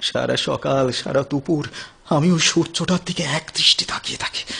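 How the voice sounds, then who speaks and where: Man acting a husky, anguished young addict. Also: on the high side, sharp tone, heavily acted. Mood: longing, despairing. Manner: anguished, halting, stammering, melodramatic. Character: despairing, sickly young man, a recovering drug addict. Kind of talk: Bengali audio drama acting.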